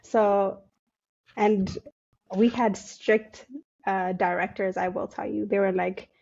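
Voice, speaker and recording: A young woman from Ethiopia, a digital marketer, answering interview questions thoughtfully with many pauses and fillers. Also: medium pitch, little pitch movement, vocal fry, a little mellow, dull audio. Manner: thoughtful, hesitant with many fillers, laughs often. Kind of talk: casual interview.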